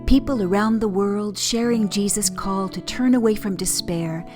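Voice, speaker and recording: A woman giving a measured, earnest scripted reflection as a church presenter. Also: medium pitch. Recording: guitar music behind the voice. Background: plucked guitar music.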